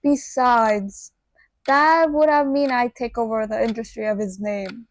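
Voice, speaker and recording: A woman's voice: on the high side, fairly even intonation, a little slow. A woman playing an overworked, modest human manager in a radio play, reserved and hesitant in delivery. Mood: modest, evasive. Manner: reserved, hesitant, slips into Spanish. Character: Ari, overworked, modest human woman running a cartoon studio. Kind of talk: fictional radio theater acting.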